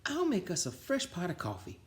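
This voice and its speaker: A man's voice: high-pitched, huge pitch swings, brisk, pitched above the performer's own voice. A man voicing a kind, concerned female schoolteacher from a children's storybook. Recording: roomy, echoing sound.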